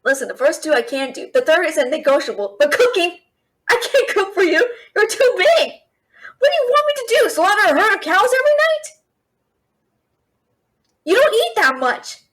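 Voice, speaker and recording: A woman playing a feisty young thief and alchemist held captive by a dragon, expressive and sassy in a one-sided fantasy audio roleplay. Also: very high-pitched, moderate pace, long pauses, heavily acted. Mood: indignant, flustered. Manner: expressive, incredulous, sassy, questioning.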